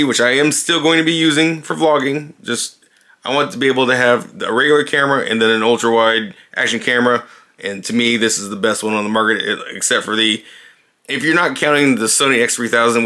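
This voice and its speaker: A man's voice: medium pitch, sharp tone. A man casually demonstrating a new camera indoors, talking loosely with lots of fillers and restarts, recorded on the camera's own built-in mic. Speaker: vlogger reviewing a camera. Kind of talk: casual unscripted camera demo. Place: indoors, dim room.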